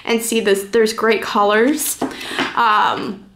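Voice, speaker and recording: Higher-pitched woman, casual reviewer. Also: slight background noise. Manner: informal, thinking aloud as she goes. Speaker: reviewer presenting a card deck. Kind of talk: casual first-impressions vlog chat.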